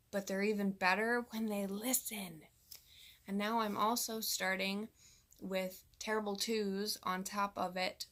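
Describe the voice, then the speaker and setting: A woman's voice: mid-range voice, fairly bright tone. A woman in her thirties, a mom vlogger, talking straight to camera in a candid, exasperated way. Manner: exasperated, candid venting to viewers. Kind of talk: direct-to-camera vlog monologue.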